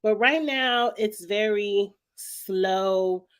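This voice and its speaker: Chatty woman with a slightly raspy, congested voice. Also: medium pitch, extremely slow. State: lingering cold with sinus congestion, clearing throat often. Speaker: YouTube show host reviewing reality TV. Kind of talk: casual vlog commentary to viewers.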